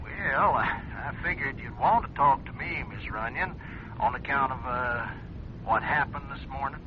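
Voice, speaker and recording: An actor playing a sly, folksy stable hand turned blackmailer in a 1970s radio drama, hesitant, rambling and insinuating. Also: male voice, mid-range voice, moderate pace, close to an everyday voice. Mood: awkward, probing. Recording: tinny noisy old radio recording.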